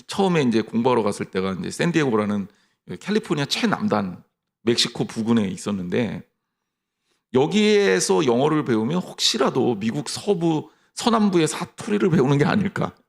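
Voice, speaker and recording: A middle-aged man preaching a sermon as pastor, lively, mixing mock dialogue with personal stories. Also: medium pitch, brisk, gravelly.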